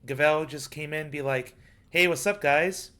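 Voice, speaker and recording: A man's voice: fairly high pitch, unhurried, pushed and bright. A man chatting casually with friends in a game dub session, jokey and colloquial as he explains things. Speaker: amateur voice actor in a game dub. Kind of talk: casual group chat, recapping a game.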